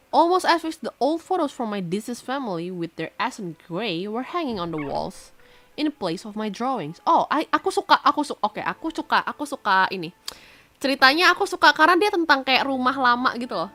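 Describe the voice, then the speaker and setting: Lively young Indonesian woman streamer. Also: on the high side. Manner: animated, switches between English and Indonesian, breaks into excited outbursts. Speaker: virtual streamer. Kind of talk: reading a visual novel aloud with reactions.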